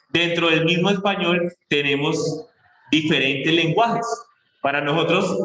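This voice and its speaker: A Colombian man giving a lecture as a teacher, explaining slowly and stretching key words. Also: fairly high pitch, pushed and bright, roomy sound, rough recording. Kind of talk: online class lecture.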